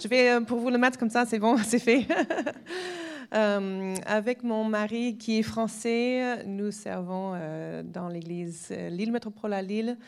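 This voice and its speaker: A woman's voice: medium pitch, fairly even intonation, vocal fry, clean tone. A woman in her forties from the United States with an American accent, giving a personal testimony at a church weekend in French with frequent hesitations. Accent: American English. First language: English. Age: 40s. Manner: halting, many hesitations, laughs lightly.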